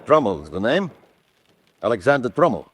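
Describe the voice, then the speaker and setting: A man's voice: medium pitch, sing-song, normal speed, lightly acted. A man playing a resourceful, obliging fugitive who passes as a roadmender in a radio drama. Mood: deferential. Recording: noisy ambient background.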